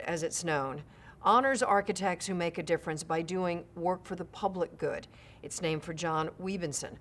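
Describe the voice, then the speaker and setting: A woman giving a scripted, formal presentation as host of an awards ceremony. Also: fairly bright tone. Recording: faint low rumble.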